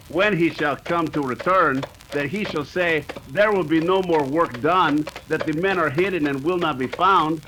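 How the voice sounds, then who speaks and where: An actor playing a cunning, boastful Mexican bandit leader in an old-time radio western, speaking broken English sprinkled with Spanish. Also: male voice, on the high side, bright, forceful tone, a little hiss or hum, poor audio.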